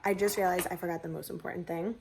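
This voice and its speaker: A young woman with a raspy, tired voice, chatting casually to her viewers in a home vlog. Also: on the low side, steady tone, quick pace, darkish tone, reverberant, distant mic, soft background music. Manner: chatty, rambling, talks to viewers as friends. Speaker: vlogger. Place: indoors at home.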